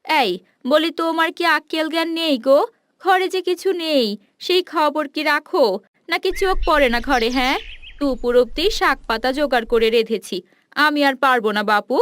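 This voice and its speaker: A woman's voice: sits high, moderate pace, fairly bright tone, dry recording. A woman voicing a nagging, exasperated village housewife and mother in a cartoon fairy tale, scolding and complaining.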